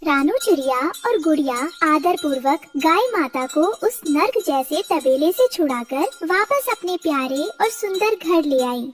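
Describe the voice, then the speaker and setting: A woman's voice: high voice, lively intonation, measured pace, hardly any pauses, far from the mic. A woman narrating a cartoon story in a scripted, dramatic style.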